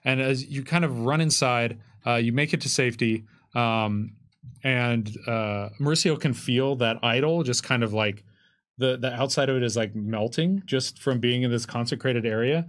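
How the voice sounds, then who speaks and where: A man in his thirties acting as game master, narrating a tabletop roleplaying game in a casual, halting way. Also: mid-range voice, fairly bright tone. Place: indoor streaming studio with curtain backdrop. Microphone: desk microphone.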